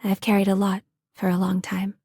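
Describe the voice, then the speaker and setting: An actress playing a seasoned traveler in a video game, calm, grave and pensive. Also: female voice, mid-range voice, moderate pace, breathy edge, no echo, clean studio sound, lightly acted. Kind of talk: video game voice line acting.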